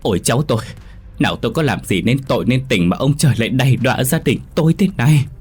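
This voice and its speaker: A man's voice: medium pitch, lively intonation, fast, a little mellow, husky, soft background music, voice pushed up. Story role: distraught older woman, pleading, sobbing delivery, raised voice performed by a male narrator. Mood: wailing. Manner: wailing, pleading. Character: distraught, tearful older mother-in-law pleading for her grandson.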